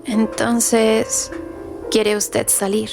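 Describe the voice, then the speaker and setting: A quiet, mysterious young woman of a radio play, speaks very slowly in a slightly high voice. Music plays clearly behind her. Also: little pitch movement, exaggerated voice. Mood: quiet questioning. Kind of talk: radio drama acting.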